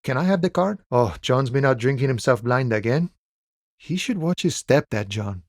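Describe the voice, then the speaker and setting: An actor playing an easygoing, wily striking dockworker in a video game, with folksy cowboy swagger and a theatrical, friendly drawl. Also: male voice, medium pitch, expressive, normal speed, no room sound, studio quality. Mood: amused, sly. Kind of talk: video game character dialogue.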